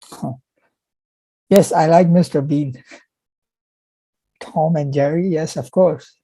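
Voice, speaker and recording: Slow, very dark-voiced young man. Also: on the high side, fairly even intonation, slightly rough, no room sound. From Pakistan. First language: Urdu. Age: young adult. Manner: halting, with frequent restarts. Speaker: guest speaker answering viewer questions. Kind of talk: casual question-and-answer chat.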